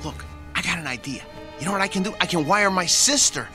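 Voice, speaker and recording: A nervous pleading sidekick, stammers, voice jumps all over, music behind. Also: male voice, sits high, expressive, quick pace. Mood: anxious. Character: anxious, pleading male sidekick. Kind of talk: radio drama acting.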